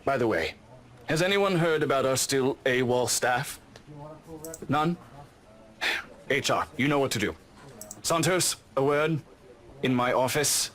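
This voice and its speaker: A man's voice: mid-range voice, animated, slow pace, long pauses, fairly bright tone, pitched above the performer's own voice. A man voicing a stern, solemn office boss in a visual novel, formal and curt.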